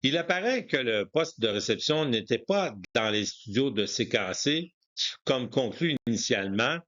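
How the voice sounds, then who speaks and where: Guy narrating a history documentary from a script, medium pitch, kinda muffled lo-fi audio. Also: a bit bright.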